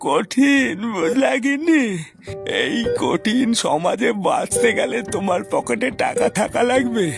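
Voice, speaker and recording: A man's voice: very high-pitched, very animated, a little slow, creaky, loud music behind the voice, exaggerated voice. A man voicing a meek, hapless old Bengali husband in a comedy cartoon, plaintive and pleading. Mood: sorrowful, resigned. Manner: plaintive, pleading, repeats little interjections.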